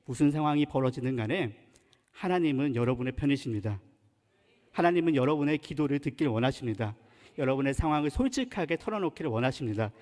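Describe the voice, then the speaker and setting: Man, pastor, Chungcheong Korean accent, earnest sermon, lively fairly high voice, long reverb of a church sanctuary. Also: fast. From Chungcheong, South Korea. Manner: earnest, emphatic preaching with rising exhortations.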